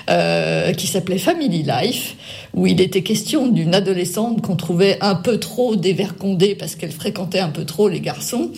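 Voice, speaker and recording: Older woman interviewing, halting. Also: medium pitch, creaky, a little mellow. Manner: hesitant, many fillers and restarts. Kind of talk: radio book interview.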